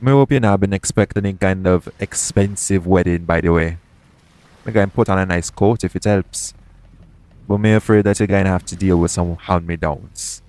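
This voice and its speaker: Casting: male actor as a roguish yet protective pirate captain, low-pitched, colloquial sea-dog speech, gently reassuring, for intimate audio roleplay. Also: normal speed, close to an everyday voice. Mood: gently practical. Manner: colloquial seafarer's dialect, speaking tenderly to one listener.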